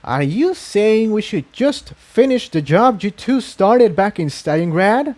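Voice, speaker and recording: Dude doing a snippy unicorn girl, high swoopy voice, waspish and defensive, storybook character. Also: big pitch swings, unhurried. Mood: waspish, angry. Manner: waspish, forceful. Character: sharp-tempered female unicorn, defensive of her people.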